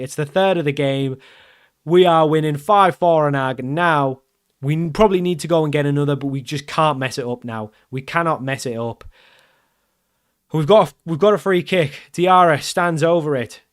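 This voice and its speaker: A man commenting casually over his football management game, getting loud and excited at the big moments. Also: on the high side, smooth. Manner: excitable, shouts at big chances and goals. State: losing his voice. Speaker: game streamer.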